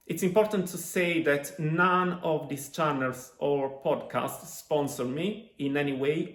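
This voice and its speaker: Deliberate Italian-native man, echoey room. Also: fairly high pitch. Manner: deliberate, with frequent uh pauses. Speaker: language-learning YouTuber. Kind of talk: measured talk to camera.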